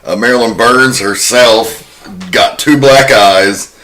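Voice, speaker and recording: Bright-voiced man on a casual podcast. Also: medium pitch, takes it slowly. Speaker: podcast co-host. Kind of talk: casual panel discussion.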